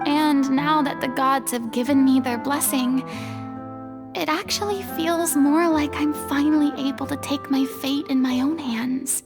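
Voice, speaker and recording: A high voice with a creaky edge belongs to a refined, theatrical young lady of the stage. She speaks in formal, eloquent phrases as a video game heroine, with clear music playing behind her. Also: a little slow. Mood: reflective. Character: former god turned actress, theatrical, refined young woman. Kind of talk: video game dialogue acting.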